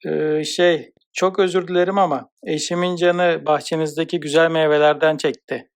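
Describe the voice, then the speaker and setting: Cartoon woodcutter husband acted by a man: timid, apologetic, courteous, slightly high male voice. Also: little pitch movement, moderate pace, close to an everyday voice. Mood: sheepish, pleading. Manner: hesitant, polite. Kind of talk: cartoon fairy tale acting.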